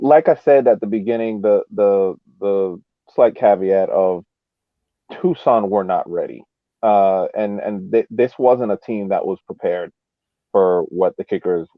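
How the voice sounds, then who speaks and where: Male podcast pundit, lowish voice, thoughtful stop-start casual analysis, tinny phone-quality audio. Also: lively intonation, measured pace, dry recording. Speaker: soccer podcast co-host. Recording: thin phone-like line.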